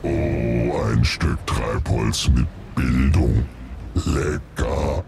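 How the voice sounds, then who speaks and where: An actor playing a huge, hungry, sardonic sperm whale with a gravelly, drawling, deadpan delivery in a children's radio play. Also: drawn-out words, exaggerated voice. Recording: loud rumbling noise over the voice.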